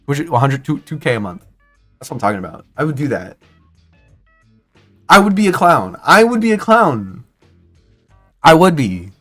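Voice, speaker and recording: Excitable rambling young man streamer. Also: on the high side, moderate pace, pauses often, soft background music. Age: 20s. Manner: rambling, excitable, lots of restarts and fillers. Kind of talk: casual stream commentary.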